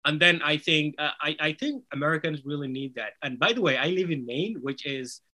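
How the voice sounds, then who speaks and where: A man's voice: a little high, fairly quick, sharp tone. Earnest man. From Somalia. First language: Somali. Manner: earnest, persuasive, rhetorical "right?" tags. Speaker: author and interview guest. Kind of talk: conversational interview answer.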